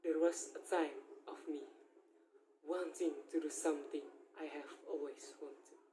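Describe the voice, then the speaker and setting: A woman giving a dramatic poetry reading in halting phrases, swinging between soft and loud. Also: low-pitched, steady tone, rough recording. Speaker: poetry reader. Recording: faint background noise.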